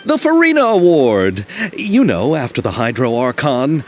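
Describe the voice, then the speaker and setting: A man's voice: medium pitch, measured pace, slight background noise. A man playing an eager, polite and bookish young filmmaker in a video game, explaining things earnestly and wordily. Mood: enthusiastic. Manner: earnest, wordy explainer, very expressive. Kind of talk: video game cutscene acting.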